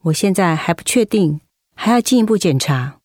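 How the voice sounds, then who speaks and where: A woman's voice: fairly low pitch, moderate pace, dry recording, studio quality. A woman playing a caring, attentive confidante in a radio drama. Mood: concerned. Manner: expressive, caring. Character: sympathetic, attentive woman, an interviewer and fellow patient.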